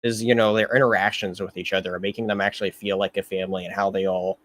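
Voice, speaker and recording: A man's voice: sits low, level tone, quick pace, a bit bright. A man hosting a casual film review chat, talking in an easygoing way. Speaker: host of a film review show.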